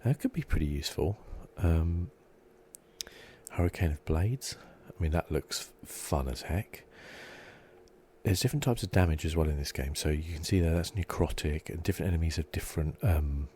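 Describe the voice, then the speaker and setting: A soft-spoken man giving relaxed, rambling ASMR commentary as he plays a video game, thinking aloud. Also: moderate pace, a little hiss or hum. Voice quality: soft-spoken, low-key.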